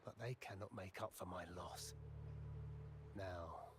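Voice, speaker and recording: An actor playing a world-weary, embittered old man in a video game, speaking in a deliberate, theatrical way. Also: sits low, heavy background noise. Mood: tired, sorrowful. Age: older. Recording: music behind the voice. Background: game music.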